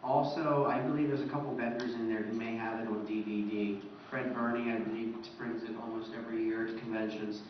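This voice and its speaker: A man's voice: lowish voice, fairly even intonation, brisk, hardly any pauses. A young adult man speaking informally as a panelist at a convention. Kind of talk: informal presentation. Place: convention meeting room, indoors. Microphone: far camera. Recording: distant, echoey, muffled, noisy room.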